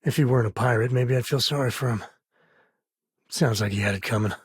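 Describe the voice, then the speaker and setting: An actor playing an easygoing, middle-aged space cowboy and devoted father in a video game, with a husky, rough-edged voice and folksy, dryly humorous phrasing. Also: mid-range voice, brisk, no room sound, natural voice. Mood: casual, wry. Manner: folksy, relaxed phrasing with dry humour. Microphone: close dry studio mic.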